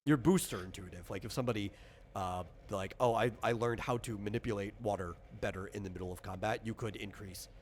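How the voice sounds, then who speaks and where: A man's voice: on the low side, brisk, a little hiss or hum. A man working as a game master, casually explaining tabletop RPG rules in an informal, conversational way.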